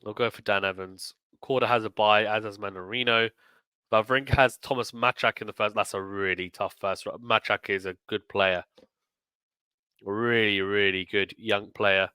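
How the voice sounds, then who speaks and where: A male sports host with a bright, forward voice and a close, dry sound. He talks in a chatty, emphatic way, thinking aloud through his commentary, with a slight croak from a recent illness. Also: lowish voice, steady tone, moderate pace, no room sound. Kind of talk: casual tournament preview commentary.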